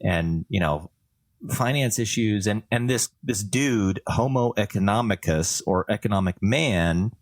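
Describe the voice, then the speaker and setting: A man's voice: lowish voice, unhurried, no echo. A man from Canada chatting casually as a podcast co-host, explaining things in a thoughtful, discursive way.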